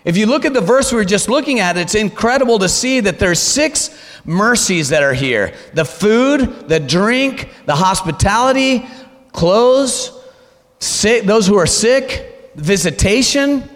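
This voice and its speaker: A man preaches in a church sanctuary with a high, lively voice that swoops up and down as he exhorts the congregation. He keeps posing rhetorical questions. A long hall reverb trails behind him. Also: a little slow. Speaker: pastor. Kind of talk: sermon.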